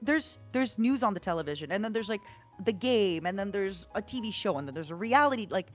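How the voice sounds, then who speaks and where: A woman streamer chatting casually and encouragingly, telling stories with a very lively, swooping voice. Soft music runs faintly behind her. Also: mid-range voice, fairly quick. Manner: encouraging, animated storyteller. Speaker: streamer guest. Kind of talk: casual podcast chat.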